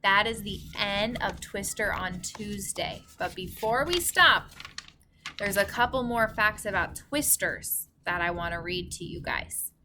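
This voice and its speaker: A woman's voice: medium pitch, pushed and bright, a little hiss or hum. A woman reading a storybook aloud like a teacher, enunciating carefully for young children. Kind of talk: storybook read-aloud narration.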